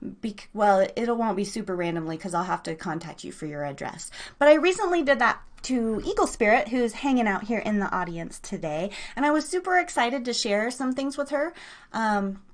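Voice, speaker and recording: A woman's voice: on the high side, animated, moderate pace. An American woman hosting a live internet radio show in a casual, chatty, bubbly way, speaking affectionately to her listeners. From United States.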